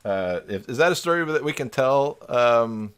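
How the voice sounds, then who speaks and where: A man's voice: mid-range voice, lively intonation, normal speed, fairly bright tone, smooth. A man hosting a casual live group chat over a video call in a joking manner. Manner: joking, chuckling. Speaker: host of a live stream.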